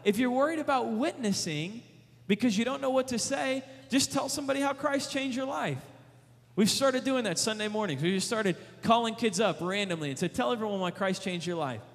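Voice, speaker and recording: A man delivers a sermon with emphatic, repeated rhetorical questions. His voice sits high for a man. The sound rings with the long reverb of a large hall. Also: animated, fairly quick. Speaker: youth preacher.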